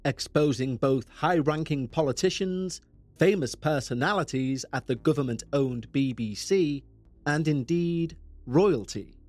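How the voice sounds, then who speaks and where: A man's voice: fairly high pitch, a little mellow. Liverpool man narrating true crime. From England. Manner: dramatic, measured delivery. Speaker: podcast host.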